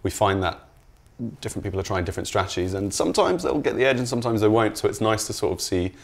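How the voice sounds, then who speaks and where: Male developer interviewee with a low voice, informal and conversational, explaining features in loose, hedged phrases. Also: lively intonation, quick pace, creaky. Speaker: video game designer being interviewed.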